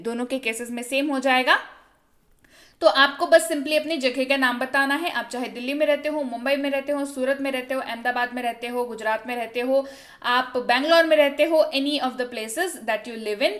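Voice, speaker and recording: A woman's voice: high-pitched, fairly bright tone. A woman with an Indian accent giving a language lesson, repeating example phrases and checking understanding in a conversational way. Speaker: English teacher. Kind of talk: instructional language lesson, alternating explanation and example sentences.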